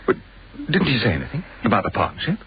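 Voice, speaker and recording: An eager, aggrieved British employee in a radio play. His mid-pitched voice swings widely yet stays polite, in a dull recording with faint noise. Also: huge pitch swings, quick pace, gravelly, husky, exaggerated voice. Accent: British English. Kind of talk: radio drama acting. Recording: dull, band-limited, faintly noisy radio sound.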